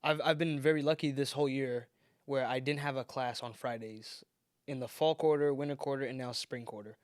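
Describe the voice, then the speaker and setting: A man's voice: mid-range voice, steady tone, fairly quick, clear, resonant tone, dry recording, studio quality. A young man hosting a podcast in a casual, upbeat way, laughing often. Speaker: podcast host.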